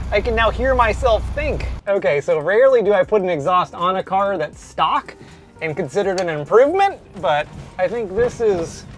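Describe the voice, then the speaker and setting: A man's voice: sits high, slight background noise. A man in his thirties vlogging about an old car from behind the wheel, with dry, self-deprecating humor. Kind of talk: casual vlog commentary. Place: inside a moving car.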